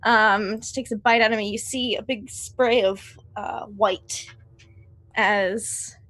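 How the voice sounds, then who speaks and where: A woman's voice: mid-range voice, fairly even intonation, slow pace, fairly bright tone, dry recording. A woman playing a tabletop roleplaying game, chatting casually and playfully as she describes her character's actions.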